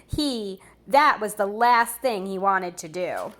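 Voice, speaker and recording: A woman teacher reading a storybook aloud to young children expressively, pausing to explain and comment. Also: medium pitch, expressive, fairly bright tone. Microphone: recorded on camera for a video.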